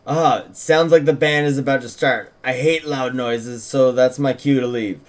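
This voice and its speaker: A man's voice: medium pitch, fairly even intonation, normal speed, pitched below the performer's own voice, natural voice. A man voicing a dutiful, easygoing small-town deputy with a Southern American accent and a folksy, responsible manner.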